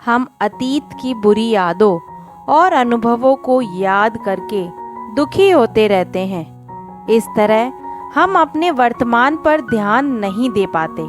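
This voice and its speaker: Female narrator for moral tales, higher voice, slow deliberate phrasing, faint music underneath. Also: animated. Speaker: storyteller, channel narrator. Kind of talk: scripted moral-story narration.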